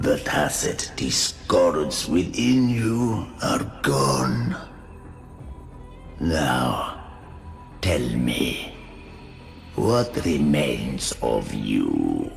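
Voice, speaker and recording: An ominous, grandiose otherworldly man in a video game. The voice is extremely low and thick with gravel, swinging theatrically in pitch as it delivers slow, solemn menace over music. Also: big pitch swings, exaggerated voice. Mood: coldly taunting. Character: ominous, grandiose otherworldly male cult leader above humanity.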